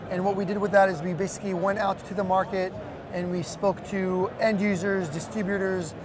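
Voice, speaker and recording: A man's voice: high-pitched, level tone, brisk. A man in his thirties explaining a product as an executive being interviewed at a busy trade show booth. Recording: loud busy trade show floor noise.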